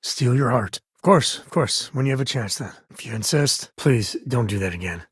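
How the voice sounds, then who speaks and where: A husky, dry-recorded voice for an easygoing space cowboy lawman in a video game. He talks in a folksy, teasing way with dry wit. Underneath it he comes across as protective and loyal. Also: medium pitch, lively intonation, normal speed, dry recording. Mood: conversational, wry. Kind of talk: video game character voice lines.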